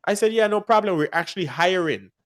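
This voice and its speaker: A man with a Guyanese accent, hosting a casual music industry chat and telling animated stories with frequent laughs. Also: sits high, fast. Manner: animated storyteller, laughs often. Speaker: podcast host.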